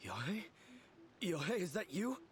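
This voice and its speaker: A man's voice: high voice, sing-song, a little slow. Dazed, swooping-voiced humble swordsman. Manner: bewildered, confessional. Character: dazed, humble, self-deprecating failed swordsman. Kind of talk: video game character acting.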